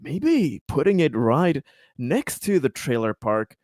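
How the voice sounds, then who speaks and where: A man with a mid-pitched voice banters casually as a player in a tabletop game. He has a jokey manner and restarts his phrases. The sound is close and dry. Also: sing-song, slow pace, darkish tone, dry recording. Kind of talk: casual joking chat.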